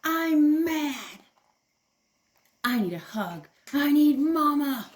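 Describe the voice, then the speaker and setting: A woman's voice: sits high, lively intonation, very slow, voice pushed up, full character voice. A woman voicing a whiny young kangaroo girl from a children's storybook, stretching her words with emphasis. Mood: upset, pleading. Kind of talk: children's storybook read-aloud.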